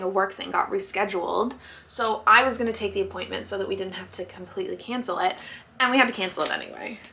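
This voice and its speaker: A woman's voice: medium pitch, creaky, pushed and bright. A young woman rambling through her day in a casual family vlog. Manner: chatty, rambling. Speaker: family vlogger.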